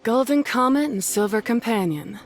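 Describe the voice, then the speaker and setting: An actress playing a composed, knowing tournament rival in a video game, speaking in a measured, matter-of-fact way. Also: female voice, a little high, animated. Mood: cool, appraising. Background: music.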